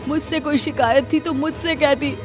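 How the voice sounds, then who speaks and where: Woman voicing an anguished man, pitched high. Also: fairly even intonation, normal speed, loud music behind the voice, natural voice. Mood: anguished, accusing. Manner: tearful, pleading. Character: anguished family man confronting witches in cartoon.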